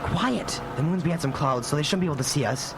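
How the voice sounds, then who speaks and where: A man's voice: on the high side, fast talker, natural voice. An actor playing a sarcastic, irritable fifteen-year-old boy in a live radio drama, snappy and grudging. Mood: tense, resigned. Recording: noisy live radio recording.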